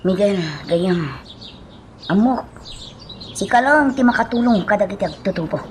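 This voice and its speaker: A woman playing a worried young working woman who confides haltingly in friends, in an Ilocano radio drama. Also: mid-range voice, animated, slight background noise, close to an everyday voice. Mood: anxious confiding. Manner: hesitant, halting.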